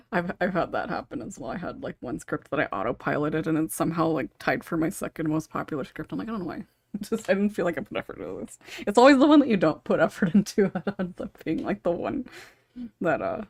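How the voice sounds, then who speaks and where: Lower-pitched husky woman hosting. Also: big pitch swings, fairly quick, a little mellow, no echo. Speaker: podcast host and scriptwriter. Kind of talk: casual panel discussion hosting.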